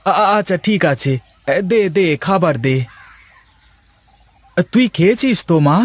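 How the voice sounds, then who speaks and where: A man voicing a kindly, hardworking village farmer and doting father in a moral-story cartoon, speaking gently and affectionately. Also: sits high, animated, measured pace.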